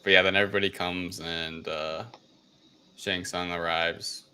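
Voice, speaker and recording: A man with a low, bright-edged voice chats as a podcast co-host. He phrases things loosely and informally. There is faint noise behind him. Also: flat delivery, unhurried. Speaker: podcast co-host reviewing films. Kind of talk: casual chat recap.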